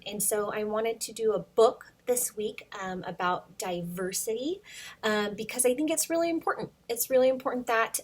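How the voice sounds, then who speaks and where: Casting: female children's story time teacher, fairly high voice, unhurried, encouraging and plain-spoken. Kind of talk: informal talk and storybook read-aloud for children.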